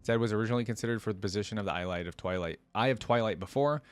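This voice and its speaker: A man's voice: fairly low pitch, racing, dry recording. A man giving casual reaction commentary, reading aloud and adding informal, exclaiming asides as host.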